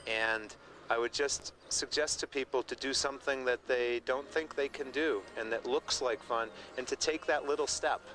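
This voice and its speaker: A man's voice: medium pitch, steady tone, moderate pace, sharp tone, slight background noise. A man in his forties speaking earnestly and reflectively in an interview. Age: 40s. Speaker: adventure racer. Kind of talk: interview talk. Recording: background music under speech.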